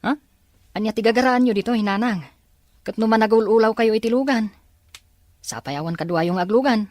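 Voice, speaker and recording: A man's voice: high voice, brisk, a little mellow, no room sound, clean studio sound, close to an everyday voice. A man with a Filipino accent acting an earnest, respectful young man in an audio drama. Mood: respectful, concerned. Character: earnest young man searching for his twin, respectful son.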